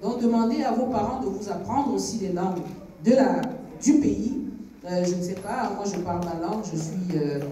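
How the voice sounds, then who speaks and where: A woman's voice: medium pitch, moderate pace, mellow. A Cameroonian woman co-hosting a film club discussion, speaking to a young audience in an engaging, didactic way with questions to the listeners. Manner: engaging, asks questions, switches between French and English. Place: screening hall with audience. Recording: very echoey hall, distant from microphone.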